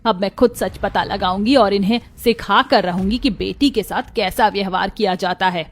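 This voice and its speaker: A woman's voice: medium pitch, moderate pace, lightly acted. Woman acting a protective middle-aged mother-in-law. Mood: concerned, determined. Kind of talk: Hindi cartoon story acting.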